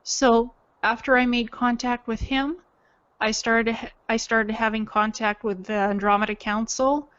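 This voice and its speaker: A woman's voice: a little high, fairly even intonation, unhurried, fairly bright tone, clean tone, no echo. Woman from Ukraine, earnest first-person storyteller, matter-of-fact, dry lo-fi recording. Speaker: self-described alien contactee telling own story. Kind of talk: personal testimony, unscripted talk.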